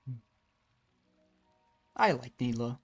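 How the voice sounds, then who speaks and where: Very low-voiced woman streaming games. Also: animated, slow pace. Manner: musing, rambling. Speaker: game streamer. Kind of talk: casual live game commentary. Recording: faint game music behind. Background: video game music.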